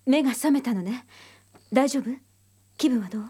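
Dark, husky, composed woman psychologist. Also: high voice, moderate pace. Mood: gentle concern. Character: composed, analytical female psychology expert. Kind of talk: radio drama acting.

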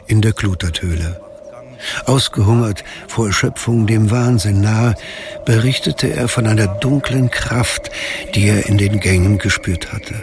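A brooding radio drama narrator with a low, gravelly voice. He tells a dark mystery in a measured, ominous way, over prominent music.

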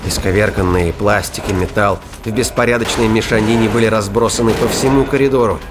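A man's voice: fairly low pitch, background noise. A man reading scripted narration for a science fiction thriller audio drama. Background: music and sound effects.

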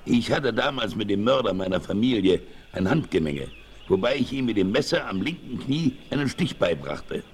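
A man's voice: lowish voice, expressive, normal speed, natural voice. An actor playing an edgy, embittered old frontiersman in a radio play, plain-spoken and matter-of-fact. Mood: agitated. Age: older. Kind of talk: 1960s German radio play acting. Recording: faint noise, old vinyl record.

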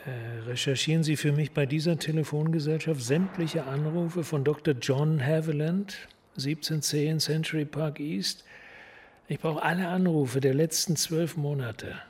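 An actor playing a dogged, self-reproaching police sergeant in a radio crime drama, with terse, clipped delivery. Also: male voice, on the high side, fairly even intonation, a little slow, a little mellow, husky. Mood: businesslike.

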